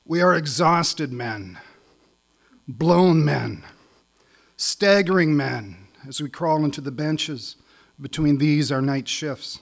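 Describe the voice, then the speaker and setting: Higher-voiced Canadian man reciting wryly. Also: takes it slowly, creaky. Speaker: spoken word poet. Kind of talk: live poetry recital with casual lead-in. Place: live on stage at a spoken word festival.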